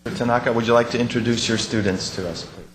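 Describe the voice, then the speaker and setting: A man's voice: mid-range voice, very fast. A man chairing a formal meeting, giving polite words of thanks and welcome. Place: council meeting room. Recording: clearly noisy room, clicks.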